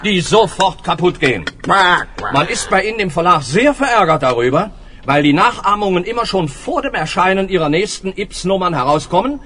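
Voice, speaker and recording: An actor playing a courteous, formal police inspector in a children's audio play, explaining things earnestly. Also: male voice, sits high, expressive, moderate pace, fairly bright tone, a little hiss or hum.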